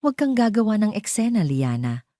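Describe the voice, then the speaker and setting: A woman's voice: mid-range voice, sing-song, a little fast, mellow, dry recording, studio quality, voice pushed up, lightly acted. A woman voicing a cold, domineering husband in an audio drama, firm, curt and menacing, with a Filipino accent. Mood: stern warning. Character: cold, domineering husband giving stern warnings.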